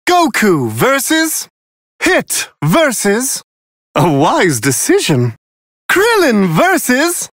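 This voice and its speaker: A man's voice: very high voice, huge pitch swings, slow pace, a bit bright, no echo, clean studio sound, heavily acted. A man voicing a refined otherworldly angel who commentates video game fights with prim, serene, amused courtesy. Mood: poised announcing. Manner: prim, genteel, elongated formal phrasing.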